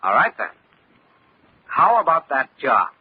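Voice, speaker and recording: An actor playing a plucky, wry undercover investigator in an old radio drama. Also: male voice, mid-range voice, wildly swooping pitch, unhurried, slightly rough, heavily acted. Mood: wry, determined. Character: plucky, wry male investigator posing as window cleaner. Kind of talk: 1940s radio acting. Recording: muffled, noisy old radio recording.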